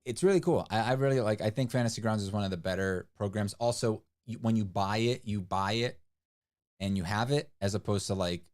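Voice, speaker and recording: A man's voice: fairly low pitch, brisk. A man co-hosting a livestream, chatting casually and rambling with lots of fillers and restarts. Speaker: livestream co-host. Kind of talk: casual stream chat.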